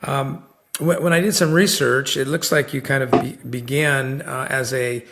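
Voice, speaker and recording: A man's voice: medium pitch, steady tone, moderate pace, gravelly, background noise. A man hosting a podcast interview, chatting casually and rambling with lots of fillers and restarts. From United States. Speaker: podcast host.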